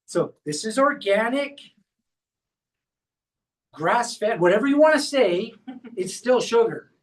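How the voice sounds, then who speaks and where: A high-pitched man talks a small group through a hands-on demonstration at a clinic workshop, explaining each step as he goes. His voice is picked up from some distance, with the room around it. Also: lively intonation, takes it slowly. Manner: explains step by step while guiding a volunteer. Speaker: chiropractor leading a workshop.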